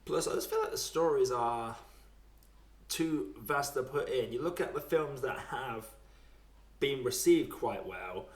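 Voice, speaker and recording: A British man hosts a relaxed film podcast in an informal, chatty way. His voice sits at an average male pitch. The room adds a clear echo around his words. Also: measured pace. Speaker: podcast host. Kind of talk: casual film podcast chat. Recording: roomy echo.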